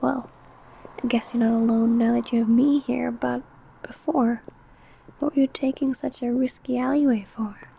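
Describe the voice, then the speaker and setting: A woman acts out an ancient, obsessive vampire woman in an ASMR roleplay. Her voice is fairly high yet mellow, soft and breathy, with a little creak in it. She hides a predator's hunger under a sweet, coaxing manner. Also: steady tone, moderate pace, rough recording. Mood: gently probing. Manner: soft, breathy, whispery murmuring.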